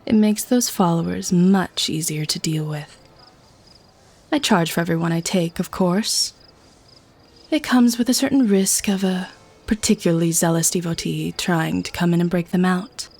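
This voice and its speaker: A woman's voice: on the low side, normal speed, a little mellow. A woman playing a haughty, teasing vampire who keeps prisoners, in an ASMR-style audio drama. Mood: smug, matter-of-fact. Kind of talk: ASMR audio roleplay acting.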